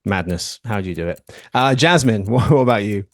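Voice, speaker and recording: A man's voice: fairly low pitch, animated, a little fast, no echo, studio quality. A man hosting a podcast in casual chat, teasing his guests with playful banter. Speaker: podcast host.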